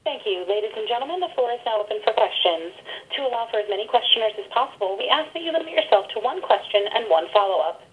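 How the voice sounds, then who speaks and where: A woman's voice: on the high side, lively intonation, fast talker. Formal female operator on tinny phone line. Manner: formal, polite. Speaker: conference call operator. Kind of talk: scripted call instructions.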